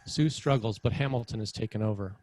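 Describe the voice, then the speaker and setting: A man's voice: on the low side, fairly quick, a little mellow, slight background noise, poor audio. A man reading screenplay action lines as narrator at a table read. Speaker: screenwriter narrating stage directions.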